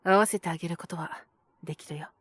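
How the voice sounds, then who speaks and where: A woman voicing a calm, protective male owner who talks in a gentle, measured, softly teasing way in an audio drama. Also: lowish voice, no room sound. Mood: approving. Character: calm, protective adult male bar owner mentoring a youth. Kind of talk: Japanese situational audio drama acting.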